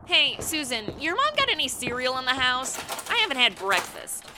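A woman's voice: high-pitched, big pitch swings, normal speed, noisy background. A woman acting a sardonic, irritable, hard-drinking publicist in a comic radio play, with slangy, sarcastic delivery. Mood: flippant.

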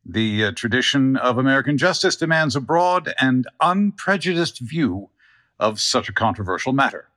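An actor playing a cautious, pompous judge in a courtroom radio play, halting and formal. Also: male voice, mid-range voice, expressive, a bit bright, close to an everyday voice. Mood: hesitant.

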